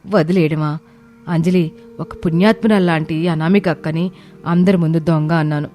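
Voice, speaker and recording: A woman's voice: sits low, fairly even intonation, normal speed, mellow, soft background music, close to an everyday voice. A woman voicing an emotional, exclamatory and pleading younger sister-in-law in a family story. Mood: guilty anguish.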